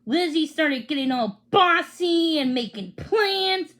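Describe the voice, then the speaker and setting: A woman with a high voice reads a children's story aloud. She takes her time, and her pitch moves around in a lively way. Her reading is halting, with small stumbles and repeated words. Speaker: read-along reader.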